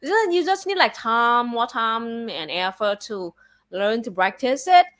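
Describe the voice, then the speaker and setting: Chatty Vietnamese-accented woman, slightly high. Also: fairly bright tone. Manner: chatty, with many filler likes and restarts. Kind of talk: casual chat.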